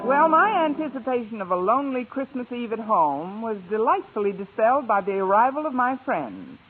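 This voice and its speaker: An actress playing a wry, quick-witted schoolteacher with dry delivery in a 1950s radio sitcom. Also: female voice, a little high, very animated, faint music behind. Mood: pleasant, wry. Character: wry, quick-witted single woman schoolteacher hosting friends. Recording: noisy, tinny 1950s radio recording.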